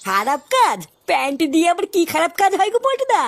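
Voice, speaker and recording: A man's voice: very high voice, big pitch swings, fast talker, vocal fry, a bit bright, heavily acted. A man playing a gullible, easily alarmed young villager in a cartoon comedy, full of incredulous questions and exclamations. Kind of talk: slapstick cartoon comedy dialogue.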